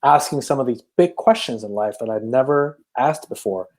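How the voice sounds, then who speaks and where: Man, from China, native Chinese speaker, podcast guest, reflective, hesitant, average pitch. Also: normal speed, a little mellow. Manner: reflective, hesitant, many restarts. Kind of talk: casual interview storytelling.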